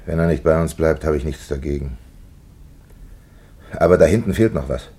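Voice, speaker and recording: Stern older village dad in a radio drama, super deep husky voice, grave, bossy. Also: male voice, moderate pace, mellow. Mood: grave, commanding. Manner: grave, commanding, terse. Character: stern, solemn village father, older man. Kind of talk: German radio play acting.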